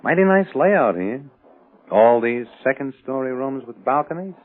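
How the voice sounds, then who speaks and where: Casting: male actor as smooth-talking crook, mid voice, very expressive, 1940s radio style, boxy narrow recording. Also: medium pitch, normal speed, natural voice. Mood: sly, flirting. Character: slick, cocky male crook, scheming flirt.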